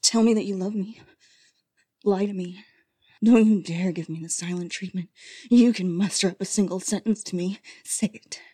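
A woman voicing an unstable, possessive female lover in an audio roleplay, speaking in a breathy, often whispered voice. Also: medium pitch, fairly even intonation, mellow, no echo, lightly acted. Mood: desperate pleading. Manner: slow, intimate delivery that swings to desperate pleading.

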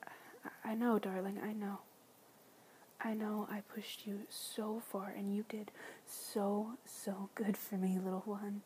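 A woman whispering softly in an ASMR roleplay, soothing and tender, with gentle pet names and quiet repeated reassurances. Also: medium pitch, level tone, takes it slowly, a little hiss or hum, poor audio. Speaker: audio roleplay performer.

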